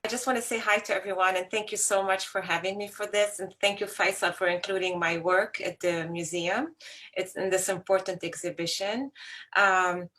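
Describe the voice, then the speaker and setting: A woman's voice: mid-range voice, fairly even intonation, moderate pace, bright, forceful tone. A woman from Lebanon, a photographer, talking casually about her work and often correcting herself as she goes. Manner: rambling, with self-corrections. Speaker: photographer guest.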